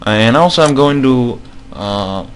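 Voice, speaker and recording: Slow, hesitant man with a non-native accent. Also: mid-range voice, lively intonation, clean tone. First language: not English. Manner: hesitant, many fillers, repeats himself. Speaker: game modding tutorial maker. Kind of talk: screen-recorded tutorial.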